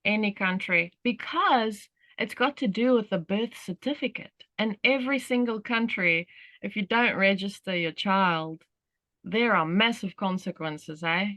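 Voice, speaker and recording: A woman's voice: medium pitch, a little slow, fairly bright tone, no echo, rough recording. Woman with an Australian accent, workshop host, loose rambling delivery, dry close sound. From South Africa. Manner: rambling, many "you know" fillers. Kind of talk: casual talk on a group call.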